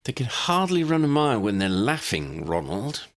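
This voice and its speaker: A man voicing a sharp-tongued, teasing young woman witch with a dry, mocking manner in an audiobook. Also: medium pitch, very animated, moderate pace, pitched above the performer's own voice.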